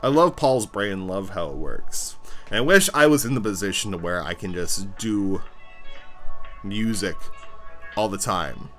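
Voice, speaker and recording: Male stream host with a low, deep voice; chatty, rambling, eager. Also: unhurried, soft background music. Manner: rambling, excitable fan talk. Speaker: livestream host. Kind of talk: casual livestream chat.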